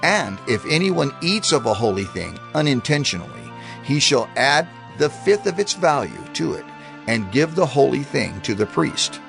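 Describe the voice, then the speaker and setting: A man voicing a grave proclaimer of divine law, measured and authoritative. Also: medium pitch, sing-song, gravelly, fairly bright tone, faint music behind. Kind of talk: dramatized scripture reading.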